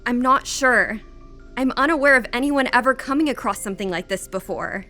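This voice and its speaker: A woman's voice: a little high, sharp tone. An actress playing an earnest, brainy young female ensign, a deferential science officer in a sci-fi audio drama. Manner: crisp, deferential, earnest, technical. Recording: audio drama with music underneath.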